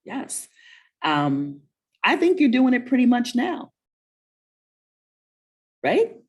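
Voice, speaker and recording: A woman co-hosting a children's story webinar, chatting casually in a warm, encouraging, motivational way and often checking in with questions. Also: on the high side, big pitch swings, slow pace, breathy edge.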